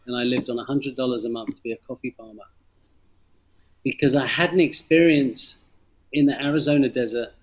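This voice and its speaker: A middle-aged man with a London accent speaks as an interview guest, telling a personal story in a reflective way. His pitch sits in an ordinary middle range, and he often pauses, restarts and repeats phrases for emphasis. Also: dull audio. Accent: London English. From London, England. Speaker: interview guest, former banker turned coffee farmer. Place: remote video call from Indonesia.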